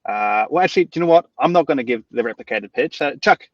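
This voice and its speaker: A man's voice: mid-range voice, lively intonation, quick pace, dry recording, recorded from a distance, highs cut off. A man from New Zealand with a New Zealand accent, hosting a live webinar in an upbeat, chatty way with plenty of fillers and restarts. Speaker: webinar host.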